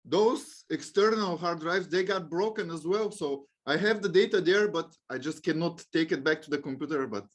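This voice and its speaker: A man's voice: on the high side, normal speed, hardly any pauses. A man hosting a podcast, chatting loosely with his guest and calling him bro. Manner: loose, chummy, says bro and like. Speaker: podcast host. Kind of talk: casual interview chat.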